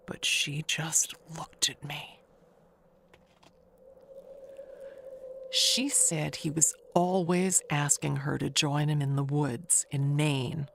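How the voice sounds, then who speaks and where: Audio mystery drama: actress as a gossipy older spinster neighbor, low and gravelly, confiding and insinuating, slow with loaded pauses. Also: female voice, slight background noise, exaggerated voice. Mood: grave, hushed. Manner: confiding, chatty, with pointed pauses and hushed asides. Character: gossipy, wistful, never-married older woman neighbor, insinuating.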